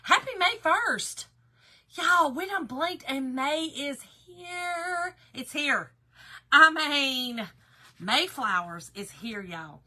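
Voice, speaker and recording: A middle-aged woman with a Southern Georgia accent, a little hoarse, hosting a craft livestream in a folksy, chatty way. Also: high-pitched, expressive, slow pace, bright, forceful tone. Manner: folksy and chatty, calling viewers "girl" and "y'all". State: slightly hoarse. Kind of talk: casual live-stream chat greeting viewers.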